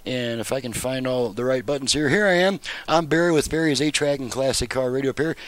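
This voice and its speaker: Older American man, halting hands-on demo. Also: mid-range voice. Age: older, around 60s. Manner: plain-spoken, halting, unscripted. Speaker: radio repairer and video host. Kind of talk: casual hands-on product demonstration. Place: indoor home repair workshop. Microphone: headset microphone.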